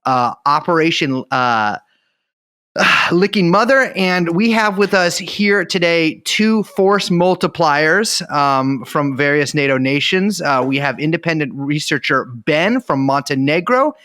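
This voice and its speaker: Male podcast co-host with a slightly high voice, profane and deadpan, in quick bursts. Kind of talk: casual joking chat.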